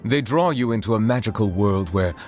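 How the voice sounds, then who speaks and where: A man playing a reserved, eloquent chief judge in a video game, speaking in a formal and measured way. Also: lowish voice, animated, a little mellow, close to an everyday voice. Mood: thoughtful, measured. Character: formal, reserved male chief judge, secretly a water dragon. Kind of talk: scripted game character voice lines. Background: music.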